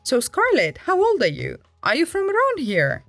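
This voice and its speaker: A man's voice: extremely high pitch, wildly swooping pitch, moderate pace, gravelly, bright, forceful tone, dry recording, soft background music, pitched above the performer's own voice, exaggerated voice. A woman voicing a chatty, friendly middle-aged male air ambulance medic who is eager to make small talk. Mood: friendly, excited.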